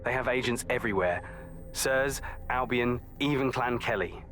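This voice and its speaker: A man's voice: medium pitch, normal speed, a bit bright, faint music behind, lightly acted. An actor playing a determined, headstrong young male assassin leaving an earnest briefing in a video game audio log.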